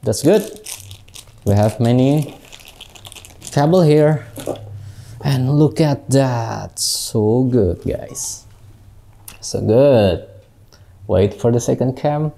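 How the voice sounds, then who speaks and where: A young Indonesian man with an Indonesian accent, a tattoo artist, unboxing gear in halting English, recorded on a lapel mic in a small studio. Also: mid-range voice, gravelly, dark tone. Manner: unhurried, halting non-native English with many fillers. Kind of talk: casual product unboxing.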